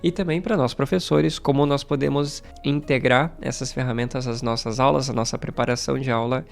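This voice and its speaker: A Brazilian man talks casually to camera like a teacher updating his online community. His voice sits at a middling pitch with a noticeable gravelly fry, and faint music plays behind him. He is conversational and stresses points by repeating them. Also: fairly even intonation, brisk. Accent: Brazilian Portuguese. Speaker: Portuguese teacher and YouTube channel host.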